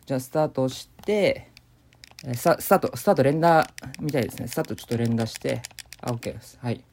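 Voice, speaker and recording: A man giving improvised deadpan commentary as he plays a video game. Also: medium pitch, fast talker, vocal fry. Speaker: gamer doing commentary. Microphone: voice memo recording.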